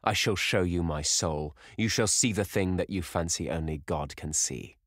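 A man voicing a proud, insolent young man who speaks in a hard, clear, taunting way. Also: deep voice, moderate pace, fairly bright tone, no room sound, studio quality. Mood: cold.

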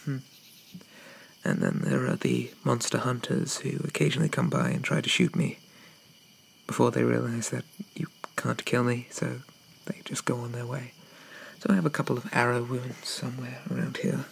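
A man playing a shy, gentle, polite undead gentleman in a hesitant, breathy, half-whispered ASMR roleplay. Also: mid-range voice, expressive, moderate pace, a little hiss or hum. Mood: shy, wistful, then gentle, musing. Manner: hesitant, apologetic, softly murmured, old-fashioned politeness.

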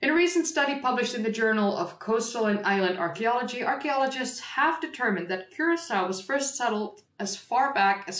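A woman's voice: medium pitch, echoey room. Chatty Dutch woman on a livestream. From Netherlands. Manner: chatty and rambling, with fillers and self-corrections. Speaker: history livestream host. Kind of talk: casual livestream, reading an article aloud.

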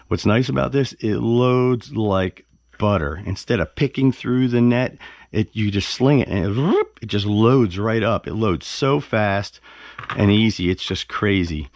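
A man's voice: deep voice, no echo. A man giving a casual, unscripted product review as an enthusiastic, plain-spoken host who repeats words for emphasis. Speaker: host of a fishing gear review channel. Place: carpeted living room at home, indoors.

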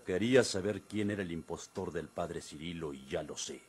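An actor playing a grave, authoritative Mexican priest in a radio drama, speaking in a measured, formal way. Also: male voice, low-pitched, husky. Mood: stern, accusing. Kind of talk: golden-age radio drama acting.

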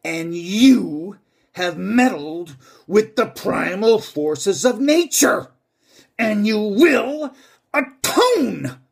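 A man performing a commanding male corporate tycoon, moving from booming shouts to hushed whispers, with a husky voice. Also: big pitch swings, extremely slow, exaggerated voice. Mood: thundering. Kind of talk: dramatic monologue recitation.

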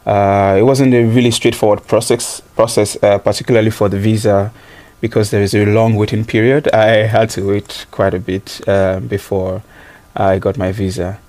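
A man's voice: lowish voice, little pitch movement, normal speed, darkish tone. A man, answering interview questions thoughtfully, with many ums and an easy laugh. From Nigeria. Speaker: interview guest, student.

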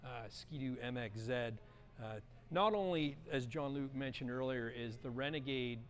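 A middle-aged man giving a relaxed, chatty walkthrough of snowmobiles in a large indoor showroom, filming on a handheld action camera and filling pauses with uh and um. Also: mid-range voice. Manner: relaxed, chatty, lots of uh and um fillers. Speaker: vlogger touring a snowmobile dealership. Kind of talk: casual walkthrough presenting.

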